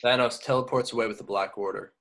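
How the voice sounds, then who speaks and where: A man's voice: mid-range voice, steady tone, quick pace, fairly bright tone. A man narrating scripted action descriptions at a table read. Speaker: stage direction narrator.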